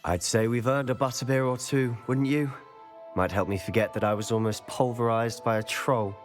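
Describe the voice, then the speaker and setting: Man as wry schoolboy companion, middling pitch. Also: moderate pace, faint music behind, lightly acted. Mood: wry, friendly. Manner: wry, chatty. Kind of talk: video game character acting.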